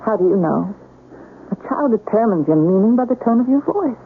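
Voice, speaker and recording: A woman playing a forceful, fiercely protective mother and wife in a radio drama, insistent and sharp-tongued. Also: a little high, big pitch swings, fairly quick. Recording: tinny, hissy old radio broadcast.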